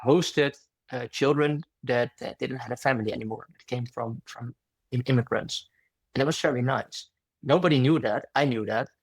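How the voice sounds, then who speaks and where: A man's voice: mid-range voice, no room sound. Man, panel talk, story with small restarts, dry sound, mid voice.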